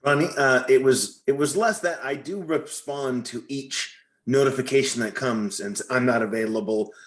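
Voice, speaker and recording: A man with an average-pitched voice takes part in an online class discussion. He explains his situation haltingly and conversationally, circling toward his question. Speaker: trainee asking a question. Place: online group call.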